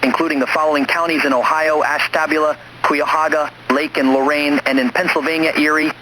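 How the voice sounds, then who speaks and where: A man delivers a formal emergency alert in a measured, impersonal manner. His voice is bright and forceful and sits a little high. Noise runs through the radio-style broadcast. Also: flat delivery, low-quality recording. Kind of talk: scripted emergency broadcast announcement.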